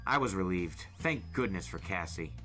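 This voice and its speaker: Male storyteller for novel narration. Slightly low, forceful, lively delivery over soft music. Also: moderate pace. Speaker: podcast host reading a novel. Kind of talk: animated audiobook-style first-person narration.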